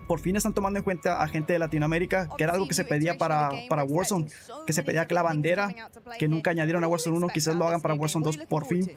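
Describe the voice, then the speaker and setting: A man's voice: a little high, little pitch movement, racing, over music. A man streaming live, answering chat in a casual way, calling viewers bro and often restarting his sentences. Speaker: gaming streamer.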